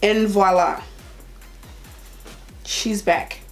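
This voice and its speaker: A woman's voice: medium pitch, extremely slow, creaky, soft background music. A young adult woman with a Liberian accent, chatting casually and directly to her viewers as a vlogger. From Liberia, raised partly in Ivory Coast. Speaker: vlogger answering viewer questions. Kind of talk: casual chat to camera.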